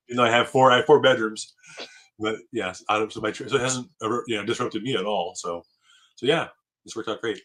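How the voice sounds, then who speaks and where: Man in his 50s, low voice, quick rambling chat, laughs often. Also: fairly even intonation, fairly bright tone, poor audio. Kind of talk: casual chat.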